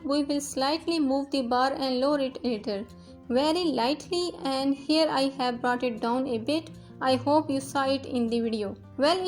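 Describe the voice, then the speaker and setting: A young woman whose first language is Urdu, patiently talking through a sewing machine fix step by step as host of her tutorial channel. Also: high voice. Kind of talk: instructional voice-over.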